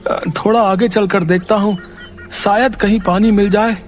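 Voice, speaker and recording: A man's voice: high voice, steady tone, normal speed, slight background noise, lightly acted. A man voicing a tired, thirsty shepherd in a cartoon story who talks to himself. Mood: weary. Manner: muttering to himself, weary. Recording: background music.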